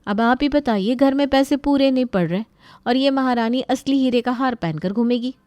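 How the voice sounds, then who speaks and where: A woman's voice: sits high, normal speed, a little mellow, no room sound, voice pushed up, close to an everyday voice. A woman voicing a gossipy, scheming young daughter-in-law who feigns innocence in a moral story. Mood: gossipy, aggrieved.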